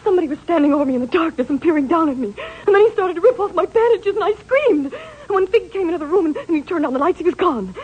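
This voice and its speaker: An actress playing a fragile, frightened young woman in a 1940s radio melodrama, pleading in halting, breathless phrases. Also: very high-pitched, big pitch swings, darkish tone. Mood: shaken, halting. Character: fragile, frightened young female patient with secrets. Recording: dull old radio recording.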